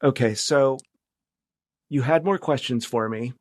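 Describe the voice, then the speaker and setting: A man's voice: medium pitch, a little slow, no room sound. Male podcast guest, mid-range voice, casual and tentative, rephrasing often, very dry recording. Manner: tentative phrasing, trails off and rephrases. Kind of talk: casual conversational interview.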